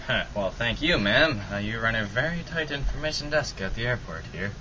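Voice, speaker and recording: A man's voice: mid-range voice, lively intonation, fairly quick. A man playing an eager, polite, naive traveler in old-time radio drama style, chatty and a bit hesitant. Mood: polite, flustered. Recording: muffled far-off mic, loud background noise.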